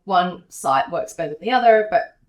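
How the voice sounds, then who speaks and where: A woman's voice: on the low side, expressive, normal speed. An Australian woman who grew up speaking Taiwanese talks in loose, rambling sentences full of fillers. She sounds like an interview guest caught on a poor mic, with a roomy echo around her voice. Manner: chatty, loose run-on sentences, many fillers. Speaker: guest, estate agent and DJ. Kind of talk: casual interview chat. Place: hotel room in a city. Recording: echoey room, poor mic.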